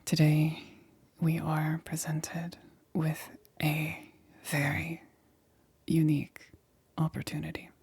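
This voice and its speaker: A woman whispering breathily in an ASMR roleplay as a careful scientist, speaking in a deliberate, clinical, instructing manner. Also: sits low, completely flat delivery, a little mellow, breathy edge, no echo. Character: careful, excited female scientist examining an alien specimen.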